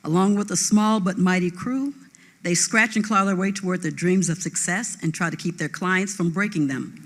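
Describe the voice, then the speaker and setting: A man's voice: high voice, moderate pace, fairly bright tone. A man presenting on a theatre stage, reading a scripted synopsis of a nominee. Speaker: award presenter. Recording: reverberant hall.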